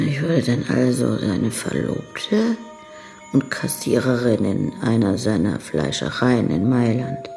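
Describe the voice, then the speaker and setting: An actress playing a frank, cheeky young bride-to-be in a radio crime drama, telling things candidly and languidly. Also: female voice, very deep voice, gravelly, warm tone, full character voice.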